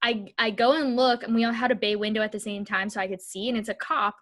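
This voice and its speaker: A woman's voice: a little high, steady tone, fast talker, fairly bright tone. A young woman co-hosting a podcast, telling stories casually and animatedly, peppering her speech with "like" and acting out dialogue. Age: young adult. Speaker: podcast co-host. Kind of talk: casual chatty storytelling.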